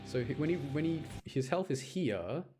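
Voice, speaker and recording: A man giving casual gameplay commentary in an excitable way, repeating phrases for emphasis as he shows clips. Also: on the high side, moderate pace, over music. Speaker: gamer showing gameplay clips.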